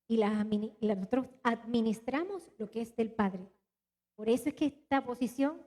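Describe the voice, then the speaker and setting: A woman's voice: fairly high pitch, mellow. A Puerto Rican woman pastor with a mixed Latin American Spanish accent, preaching animatedly and talking straight to her congregation in a church. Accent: mixed Latin American Spanish, little Puerto Rican. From Puerto Rico. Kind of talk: live church sermon and announcements. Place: church hall.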